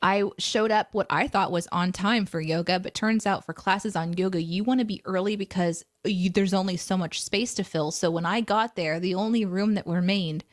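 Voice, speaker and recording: Woman co-host, casual storyteller, animated, dry close mic. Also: mid-range voice, moderate pace, few pauses, dry recording. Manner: animated, laughs easily. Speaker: co-host of a live morning stream.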